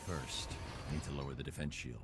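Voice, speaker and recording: An actor playing a grim vigilante hero in a video game, terse, commanding and stoic. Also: male voice, very deep voice, fairly even intonation, brisk, music in the background. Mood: focused. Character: grim, stern adult male vigilante hero. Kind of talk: video game cutscene acting.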